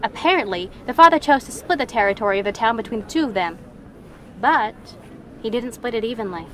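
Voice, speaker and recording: Western townsperson, female woman actor, gossipy, plain-talking, higher voice, slightly noisy recording. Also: lively intonation, pushed and bright. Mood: puzzled. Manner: folksy, drawn-out idioms. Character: folksy Wild West townsperson, plain-spoken and gossipy. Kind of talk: western audio drama acting.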